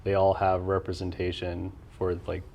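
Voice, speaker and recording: A young adult man hosting a casual interview, laid-back and quick with murmured backchannels. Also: deep voice, monotone, vocal fry, muffled recording, a little hiss or hum. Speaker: podcast host.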